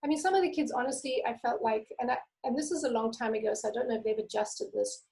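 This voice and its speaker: Soft-voiced woman with gravelly warmth. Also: on the high side, fast talker. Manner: candid, conversational. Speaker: author. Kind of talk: interview answers and memoir reading. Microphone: video call.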